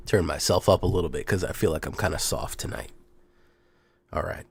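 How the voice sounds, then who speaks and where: A young man hosting a card game channel, talking through his deck in a playful showman patter while sick, his voice rough. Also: sits low, a bit bright. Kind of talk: casual deck walkthrough.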